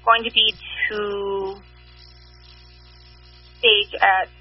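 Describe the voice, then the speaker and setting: A woman's voice: mid-range voice, little pitch movement, drawn-out words, soft background music. A woman working as a fire dispatcher, reading back units and times formally over a two-way radio. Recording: muffled, husky dispatch radio audio with hum.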